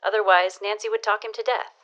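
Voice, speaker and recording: A woman's voice: medium pitch, level tone, fast, a bit bright, lightly acted. A woman playing a gossipy, knowing neighbor who teases and dishes news in a period audio drama. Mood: wry, amused. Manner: arch, knowing, teasing.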